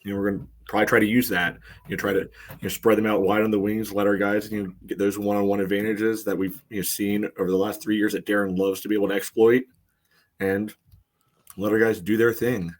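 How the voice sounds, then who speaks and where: A man giving casual sports analysis as a podcast co-host, in a halting, conversational way. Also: on the low side, flat delivery.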